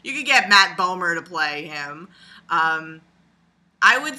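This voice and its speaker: A woman giving casual, rambling video commentary in an animated, sarcastic way, with crude humor and frequent swearing. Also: lowish voice, slow pace. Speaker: video blogger.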